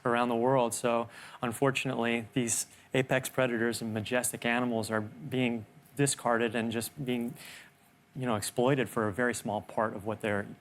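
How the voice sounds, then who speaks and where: A man's voice: medium pitch, little pitch movement, moderate pace. A man being interviewed on TV as a shark conservationist, speaking earnestly. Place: TV studio.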